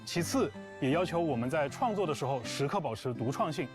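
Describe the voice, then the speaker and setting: A man's voice: fairly high pitch, animated, brisk. A man speaking as a game developer, giving a prepared presentation to players. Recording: music playing behind the voice. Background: plucked guitar music.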